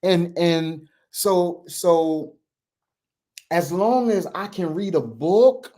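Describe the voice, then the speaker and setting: A man's voice: high voice, very slow, warm tone. A man in his fifties speaking earnestly in casual conversation, repeating phrases for emphasis with a lilt. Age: 50s. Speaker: podcast co-host.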